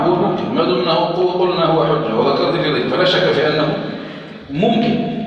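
A male sheikh teaching a lesson on legal theory, repeating key phrases and often asking whether it is clear. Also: high-pitched, muffled recording. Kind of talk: classroom lecture. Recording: echoey hall, mic far off.

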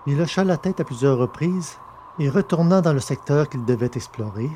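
A man narrates a science-fiction story in a measured, descriptive way. A faint background noise sits under his voice. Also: on the high side, expressive, warm tone. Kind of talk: scripted narration.

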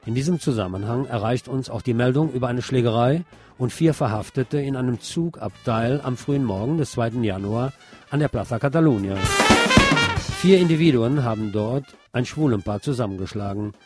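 Guy reading the radio news, medium pitch, music playing underneath. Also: a little fast.

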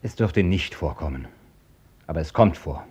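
An actor playing a blunt, busy hospital doctor in a radio drama, dry and ironic but gentle with children. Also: male voice, deep voice, lively intonation, moderate pace, slightly rough. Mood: dry, matter-of-fact. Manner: dry, clipped, formally ironic. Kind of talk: German radio drama acting.

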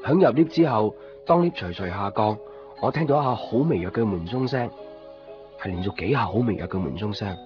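A man's voice: fairly low pitch, animated, lightly acted. Actor as wistful Cantonese man narrating, guitar behind. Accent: Hong Kong Cantonese. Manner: reflective, measured storytelling. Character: wistful lovelorn young male shopkeeper narrator. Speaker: narrator and lead character. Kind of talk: first-person radio drama narration. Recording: muffled 1990s radio drama recording. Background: guitar music.

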